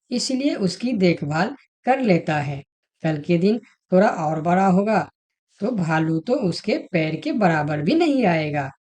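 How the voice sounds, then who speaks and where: A woman playing female animals in an animated moral fable, with a persuasive manner. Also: lowish voice. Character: female talking animals, fox and elephant, in a fable. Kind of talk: Hindi animated moral story dialogue.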